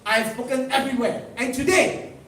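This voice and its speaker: A man with a Malaysian English accent giving an animated, boastful motivational talk on stage at a convention. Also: very high-pitched, normal speed, distant mic, a little hiss or hum. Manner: boastful, animated storytelling with laughs. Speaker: motivational speaker. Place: large convention hall. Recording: echoey hall picked up from afar.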